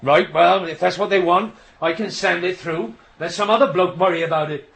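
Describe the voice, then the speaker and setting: An actor playing a proud, touchy middle-aged signalman father in a radio drama, gruff and lecturing. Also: high-pitched, normal speed, a bit bright. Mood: brisk, businesslike. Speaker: railway signalman in a radio play. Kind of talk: naturalistic radio drama acting.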